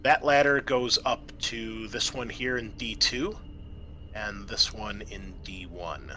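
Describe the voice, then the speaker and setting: A man's voice: fairly low pitch, slow pace, sharp tone, poor audio. A man acting as game master, casually explaining tabletop game rules. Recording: clear background noise.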